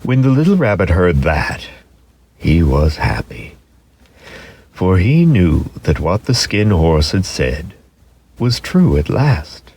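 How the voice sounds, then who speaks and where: A man narrating a children's story dramatically, expressive and lingering on key words for emphasis. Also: low voice, slow pace, slight background noise.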